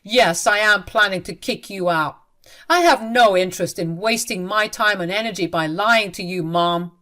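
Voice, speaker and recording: A grown son, furious and unbending, hurls stern accusations at his mother in a raised voice, as a woman narrator puts him on. It is storybook narration with a voice that is neither high nor low. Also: normal speed, a bit bright, natural voice. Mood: angry, stern.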